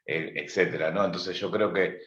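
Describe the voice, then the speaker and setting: Argentine male businessman, deep register, hesitant informal delivery with repeated starts, recorded dull in a reverberant room. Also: fairly even intonation, fairly bright tone. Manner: halting, searching for words, repeats openings. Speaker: business manager sharing experience. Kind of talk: informal seminar discussion.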